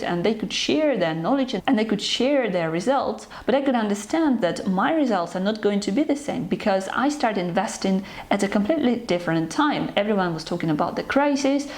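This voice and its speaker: Young woman from ukraine, medium pitch, earnest and kinda hesitant, just chatting to her subscribers. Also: darkish tone. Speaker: channel host. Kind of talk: personal talk to camera.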